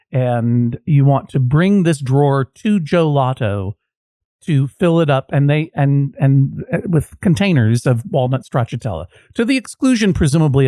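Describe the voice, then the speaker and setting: A man's voice: mid-range voice, dark tone, no echo, studio quality. A man hosting a podcast as a mock judge, questioning guests with wry jokes and rambling asides. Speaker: podcast host acting as judge. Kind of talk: playful comedic questioning.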